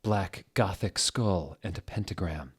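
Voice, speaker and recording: Man as sullen, low-voiced goth teen girl. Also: measured pace, slightly rough, heavily acted. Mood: gloomy. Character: sullen gothic teenage girl, melodramatic and vain.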